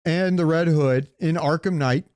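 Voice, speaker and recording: Guy podcasting about pop culture, smooth clear voice, dry close sound, kinda excited and sweary. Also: fairly high pitch, moderate pace, no echo. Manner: enthusiastic, conversational, casually profane. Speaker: podcast co-host.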